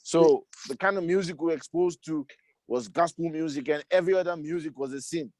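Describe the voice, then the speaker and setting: A man's voice: a little high, fairly quick, a little mellow. A man, a musician guest, talking casually and repeating himself, often saying "you see". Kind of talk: casual conversation.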